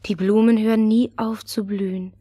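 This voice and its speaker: A woman's voice: mid-range voice, fairly even intonation, normal speed, dark tone, breathy edge, no echo, studio quality, lightly acted. An actress playing an earnest, wondering little girl in a fairy-tale audio drama. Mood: wistful, puzzled. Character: gentle little girl searching for her lost friend.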